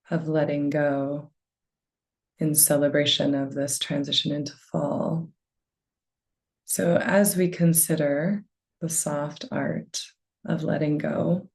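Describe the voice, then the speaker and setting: A woman leading a guided meditation with gentle, unhurried phrasing and a soft, soothing delivery. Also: low-pitched, creaky.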